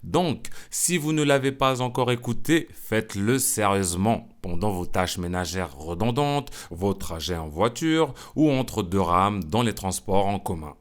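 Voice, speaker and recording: A man's voice: expressive, unhurried, few pauses. A middle-aged man hosting a solo podcast, joking and chatty, full of asides and self-mockery. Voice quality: smooth low voice. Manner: playful, self-mocking, lots of asides and "hein". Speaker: podcast host. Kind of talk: casual solo podcast monologue.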